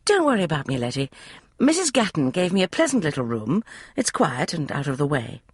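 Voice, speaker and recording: A woman's voice: deep voice, very animated, a little fast, breathy edge, dry recording, pitched above the performer's own voice, heavily acted. A woman voicing a composed, genteel young lady who speaks politely and dryly. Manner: polite, dry.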